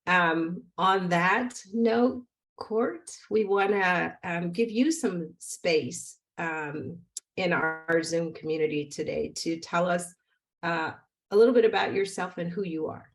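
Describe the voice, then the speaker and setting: Very slow-talking, low-voiced woman host. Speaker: host and moderator. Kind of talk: hesitant, conversational moderating. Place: room at home, indoors.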